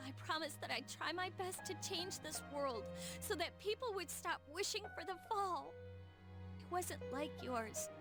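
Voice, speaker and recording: A stubborn, grieving teenage girl in a dramatic video game. Her voice sits extremely high and swings widely with feeling. She is defiant and pleading, with clear music playing behind her. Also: animated, a little slow. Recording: game audio with loud music.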